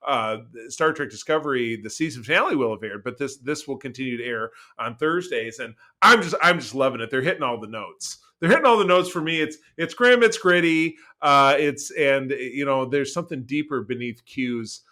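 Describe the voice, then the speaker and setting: A man co-hosting a podcast, reviewing TV in casual chat with an enthusiastic, emphatic and halting delivery that often repeats words. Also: medium pitch, animated, sharp tone. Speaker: podcast co-host.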